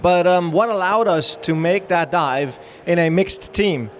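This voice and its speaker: A man's voice: high-pitched, unhurried, slight background noise. Upbeat Dutch-accented man presenting on a show floor. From Netherlands. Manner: chatty, upbeat, with frequent fillers and restarts. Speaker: dive training director giving a trade-show talk. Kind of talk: live presentation to an audience.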